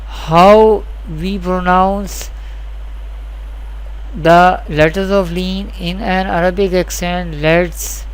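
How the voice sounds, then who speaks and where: A teacher giving a scripted Quran recitation lesson, explaining deliberately through repeated questions and answers, with spelled-out examples. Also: medium pitch, fairly even intonation, smooth, poor audio.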